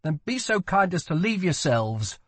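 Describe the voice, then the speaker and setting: A man acts out a wounded young prince in an audiobook, his voice lifted high and swinging widely. He is petulant, demanding and theatrical, with playfulness over guilt. The recording is dry and close. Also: sing-song, normal speed, fairly bright tone, no echo, full character voice. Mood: grumbling. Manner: scornful, dramatic, demanding.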